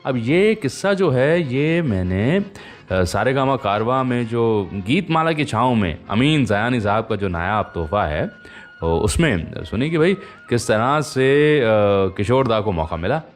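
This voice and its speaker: Man, radio host, chatty storyteller, very lively intonation, mid-pitched voice, conversational delivery. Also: unhurried, soft background music. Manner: chatty, anecdotal, addressing listeners directly.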